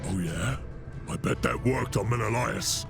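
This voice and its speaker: Cocky game warrior, gravelly, slow and swooping, loud music behind. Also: male voice, on the low side, big pitch swings, heavily acted. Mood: mocking, defiant. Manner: swaggering, theatrical. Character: boastful, taunting warrior spoiling for a duel. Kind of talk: video game cutscene acting.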